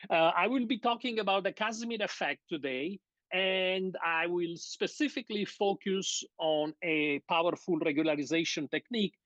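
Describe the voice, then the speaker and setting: A middle-aged Italian-accented man gives a physics seminar over a video call. He speaks slowly and carefully, with many fillers and restarts. The audio sounds dull and dry, like a call from a small office. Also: a little high, no echo. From Sardinia, Italy. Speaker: guest lecturer. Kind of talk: academic seminar talk.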